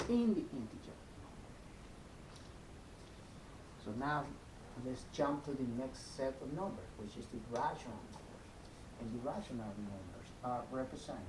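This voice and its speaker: The recording is echoey, with a clear rumble of noise behind the voice. A man with a Salvadoran Spanish accent gives an informal math lesson at a medium pitch. He goes step by step, repeating points and checking that the listener follows. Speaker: math teacher.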